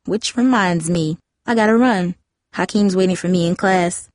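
A woman's voice: fairly low pitch, normal speed, darkish tone, no echo, close to an everyday voice. A woman voicing a catty, mocking teenage girl in an audiobook, with a snide, sing-song manner.

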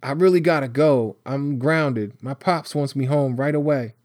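A man's voice: medium pitch, moderate pace, a little mellow, no room sound, clean studio sound, voice pushed up, natural voice. A man voicing a nervous, defensive teenage boy in an audiobook, blurting slangy protests. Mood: anxious.

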